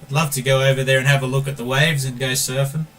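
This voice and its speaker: A man's voice: medium pitch, flat delivery, fast, a bit bright. An Australian man streaming a game, chatting casually and calling everyone mate while he reads viewer messages aloud. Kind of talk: casual gameplay stream chat.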